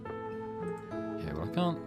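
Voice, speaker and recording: A man hosting a let's play, chatting casually, thinking aloud and reading game text out as he goes. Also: slow pace. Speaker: gaming channel host. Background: game music.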